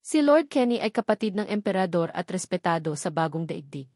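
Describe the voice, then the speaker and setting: A woman's voice: fairly low pitch, sing-song, brisk, a little mellow, no echo, studio quality. A woman reading a story aloud as a scripted audiobook narration.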